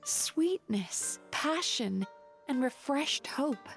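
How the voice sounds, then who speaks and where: Casting: kind, sincere young psychologist for anime game, slightly high voice over music. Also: female voice, animated, very slow, vocal fry, full character voice. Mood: gentle, sincere. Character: gentle, earnest young woman psychologist guide. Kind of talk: anime video game voice acting.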